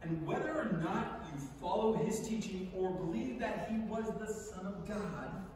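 A man's voice: high-pitched, unhurried, darkish tone, slight background noise. A man delivering a persuasive speech in a wry, conversational way from a podium. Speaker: speaker at a podium. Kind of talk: staged reading of a persuasive speech. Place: large theatre stage, indoors. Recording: echoey theatre hall from far off.

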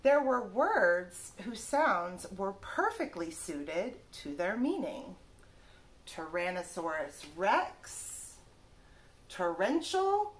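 A woman in her thirties reading a children's picture book aloud like a teacher, stressing words carefully one by one. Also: on the low side. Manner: careful, drawn-out word-by-word emphasis. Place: small living room, indoors.

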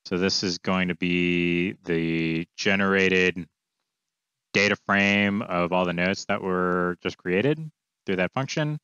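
Low-voiced man, casual tutorial, dull mic. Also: slow, bright, forceful tone, clean tone, no echo. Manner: informal, offhand, thinking aloud. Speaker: coding tutorial presenter. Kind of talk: casual screencast walkthrough, explaining as he goes. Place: small indoor room, screen recording with webcam.

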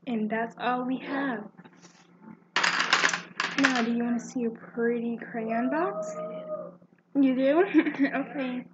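A young woman doing an amateur ASMR supply-check roleplay, presenting items one by one in a halting, repetitive way. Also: high-pitched, lively intonation. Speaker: amateur ASMR video creator. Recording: muffled, very noisy amateur recording with clicks.

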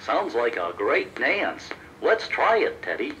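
A man voicing a silly, childlike, eager sidekick creature in a children's audio story, exclaiming and easily excited. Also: medium pitch, slow, muffled recording, slight background noise, exaggerated voice. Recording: promotional children's cassette tape.

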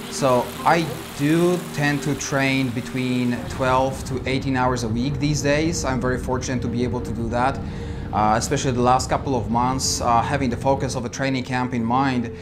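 Polish-accented male amateur cyclist, thirties, measured reflective interview delivery, clear music bed underneath. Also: mid-range voice. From Poland. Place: indoor studio with dark backdrop.

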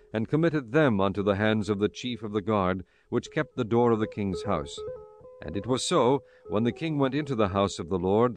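A man narrating scripture from a script in a measured, solemn manner. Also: on the low side. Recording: music bed behind the voice.

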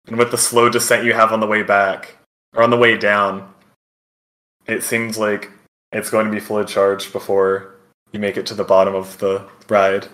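Halting man running a tabletop game. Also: lowish voice, little pitch movement, a bit bright. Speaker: game master. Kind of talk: improvised tabletop game narration.

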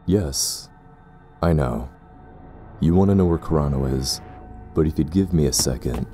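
A man playing a sinister, condescending zealot in an audio roleplay drama, speaking in a formal, measured way. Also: extremely low pitch, music in the background. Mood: cold, composed. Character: sinister cursed brother, pompous, coldly condescending zealot.

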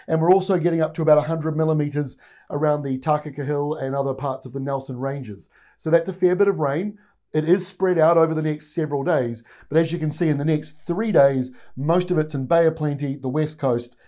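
A man's voice: fairly high pitch, mellow. A man in his forties with a New Zealand accent, giving a conversational weather forecast in a studio on a lapel mic. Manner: conversational, pointing at maps while explaining. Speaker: weather presenter. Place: studio in front of weather maps.

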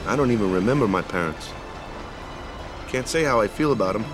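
A man's voice: lowish voice, lively intonation, fairly quick, natural voice. An actor playing a laid-back, defensive but good-hearted young male athlete in a video game, talking colloquially with tag words. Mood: resigned, thoughtful. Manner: colloquial, tags sentences with "eh", "ya" or "huh". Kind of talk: video game cutscene acting. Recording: music behind the voice.

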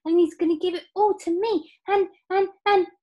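A woman's voice: on the high side, expressive, normal speed, highs cut off, pitched above the performer's own voice. A woman voicing an eager, earnest little boy in a breathless way. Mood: breathless excitement.

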